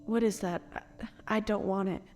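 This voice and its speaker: A woman playing a frightened but defiant noblewoman in an audio drama, with a halting, stammering, anguished delivery. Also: medium pitch, steady tone, darkish tone, a little hiss or hum, natural voice. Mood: wary, disgusted. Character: distraught revived noblewoman, mother, frightened and defiant.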